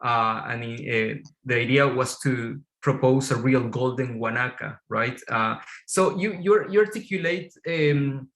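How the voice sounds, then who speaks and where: A man moderating an academic panel off the cuff, hesitant and pausing between phrases. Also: medium pitch, fairly bright tone.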